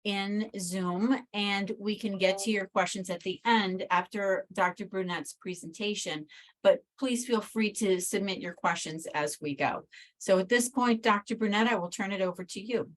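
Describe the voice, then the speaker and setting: A woman's voice: medium pitch, moderate pace, rough recording. A woman speaking as a webinar moderator, giving a formal, prepared introduction over a video call.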